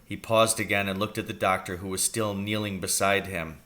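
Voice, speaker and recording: A man reading a children's book aloud in an expressive storytelling style. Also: fairly low pitch, a little fast. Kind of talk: expressive scripted story narration.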